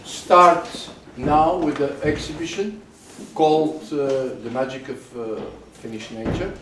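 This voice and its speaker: A man opening an exhibition event, halting and searching for words. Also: fairly high pitch, steady tone. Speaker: event announcer. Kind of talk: opening announcement. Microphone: far, room mic. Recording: distant echoey voice, noisy crowd space.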